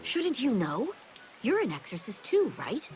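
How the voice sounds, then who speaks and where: A woman's voice: on the high side, huge pitch swings, a little slow, rough recording. A woman playing a chatty, gossipy, slightly anxious townswoman in a video game, given to speculation. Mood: chatty, curious. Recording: music behind.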